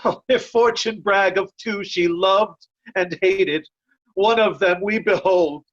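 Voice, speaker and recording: A woman's voice: mid-range voice, slow, highs cut off. A woman playing a loyal, sorrowful nobleman and servant in a Shakespearean play reading. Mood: sorrowful, earnest.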